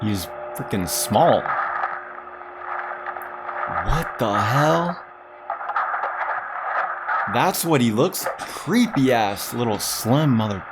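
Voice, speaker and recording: A man's voice: medium pitch, very animated, takes it slowly, pauses often, very noisy. A man hosting a horror reaction video, reading aloud and commenting casually with slangy, exclamatory asides. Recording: music behind the voice. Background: loud music.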